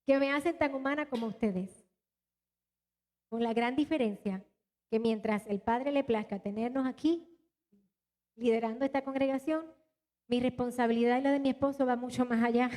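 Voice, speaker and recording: A woman pastor from Puerto Rico, her Spanish accent a blend of several Latin American ones, preaches to her congregation in a church. Her voice sits high and she talks to the crowd in an animated, direct way. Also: normal speed, pauses often. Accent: mixed Latin American Spanish, little Puerto Rican. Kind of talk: live church sermon and announcements. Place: church hall.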